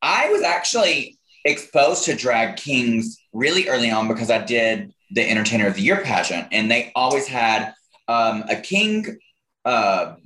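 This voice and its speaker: A man co-hosts a casual conversation, chatty and encouraging. His voice has a bright, tense edge, and he often chimes in with quick agreement. A roomy echo surrounds the recording. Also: medium pitch, big pitch swings. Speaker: podcast co-host. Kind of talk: casual chat.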